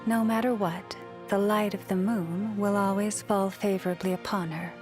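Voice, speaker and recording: An actress voicing a gentle, devout young moon priestess in a video game, speaking softly and reflectively with a breathy fall at the ends of phrases. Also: female voice, mid-range voice, steady tone, normal speed, music in the background, lightly acted. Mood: warm, thoughtful. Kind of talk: scripted video game character voice lines.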